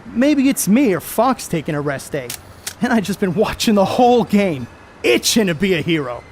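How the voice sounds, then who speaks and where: A man's voice: sits high, big pitch swings, normal speed, a little mellow, slight background noise. A man on stage playing a brash, cocky 1930s baseball star who blusters in folksy, profane slang. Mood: cocky, irritated. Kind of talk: amateur stage play acting.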